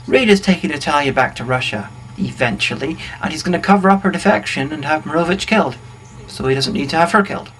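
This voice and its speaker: A man's voice: fairly high pitch, animated, fast talker, fairly bright tone, poor audio. A man reading a scripted comedic plot recap as co-narrator, in a dry, wry manner. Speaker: co-narrator of a comedy film review. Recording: faint background noise.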